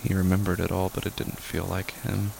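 A man narrating a horror story in a somber, grave and hushed manner, with deliberate pauses. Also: sits low, flat delivery, creaky. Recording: loud rain noise behind voice.

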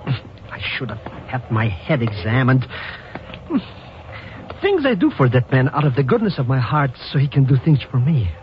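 A man plays an excitable, scheming cafe fiddler in an old radio drama. His voice leaps wildly up and down as he wheedles and puts on airs in broken grammar. The sound is muffled and hissy. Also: medium pitch, moderate pace, natural voice. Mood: nervous, grumbling. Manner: mangled grammar, wheedling, self-important. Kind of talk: 1950s radio drama acting.

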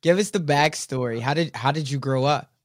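A man's voice: fairly high pitch, fairly quick, slight background noise. A man hosting a podcast interview, enthusiastic and encouraging in a casual way. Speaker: podcast host.

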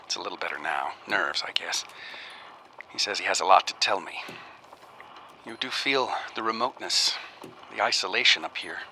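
An actor playing an earnest, uneasy researcher in a radio drama, speaking in a halting, musing way as if keeping an audio diary. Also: male voice, low voice, bright, forceful tone, exaggerated voice. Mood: uneasy, tired. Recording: faint noise behind the voice.

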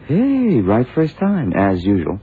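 Cocky, lively junior agent. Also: male voice, medium pitch, slow, heavily acted. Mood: brisk, cocky. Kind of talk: radio drama acting. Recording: very noisy, tinny 1960s radio recording.

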